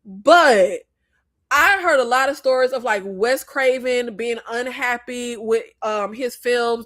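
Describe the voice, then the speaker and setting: Animated young Floridian woman, high and dry. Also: dry recording. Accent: Floridian Southern slang. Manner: animated, emphatic, jumps into high pitch when exasperated. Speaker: live stream host discussing movies. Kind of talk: casual live chat reading viewer comments.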